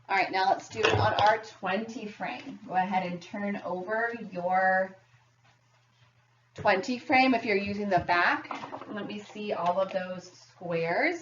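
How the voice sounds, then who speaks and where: A woman's voice: mid-range voice, fairly bright tone. A woman teaching young children math, giving simple step-by-step instructions and questions and counting aloud. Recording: roomy, distant, muffled, faint noise.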